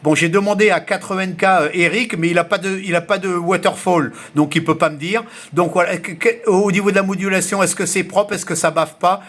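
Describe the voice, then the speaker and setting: A man's voice: high voice, steady tone, brisk, hardly any pauses, a bit bright. A man speaking as an amateur radio operator in an informal, explanatory chat, with plenty of filler words. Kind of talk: casual radio contact chat, reciting callsigns phonetically.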